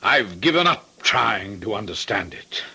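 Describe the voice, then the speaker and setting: An actor playing a sober, puzzled gentleman in old-time radio drama, resigned and measured, with a hesitant, reflective manner. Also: fairly low pitch, big pitch swings, creaky, slightly rough, exaggerated voice. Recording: faintly noisy vintage radio recording.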